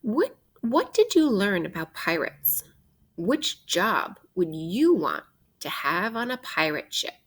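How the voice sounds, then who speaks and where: A woman teacher reading a picture book aloud to children in a deliberate, instructive way, posing questions as she goes. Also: medium pitch, big pitch swings, a bit bright, slightly rough.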